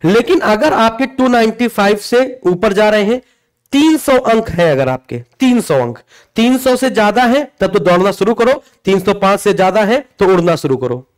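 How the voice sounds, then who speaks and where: A man's voice: high voice, lively intonation, moderate pace. A man giving an informal, explanatory lesson to students as a coaching teacher, emphatic and repetitive, often checking in with tag questions. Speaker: exam coaching teacher presenting a video.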